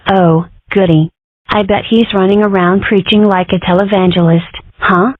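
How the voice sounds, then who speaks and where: A woman's voice: mid-range voice, fairly even intonation, moderate pace, dry recording, close to an everyday voice. Woman voicing a fox girl, flippant, scheming, tinny dry audio. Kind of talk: fan comic dub.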